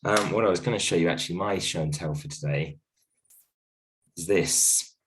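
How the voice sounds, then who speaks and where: Man, croaky sick voice, very low pitch, gentle reassuring teacher, recorded lesson. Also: moderate pace, slight background noise. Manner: gentle, reassuring, many ums and restarts. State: croaky voice from a cold, coughing. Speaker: primary school teacher. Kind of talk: recorded video lesson for a class. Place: at home.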